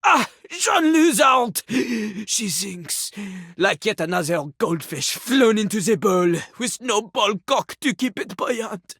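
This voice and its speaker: An actor voicing a pompous, sassy talking toilet who raps in a theatrical French accent, boasting haughtily in a video game. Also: male voice, sits high, big pitch swings, slow pace, fairly bright tone, slightly rough, dry recording, clean studio sound, full character voice. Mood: dramatic, wounded. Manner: haughty, third-person boasting, theatrical flourishes. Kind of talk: video game character voice acting, partly rapped.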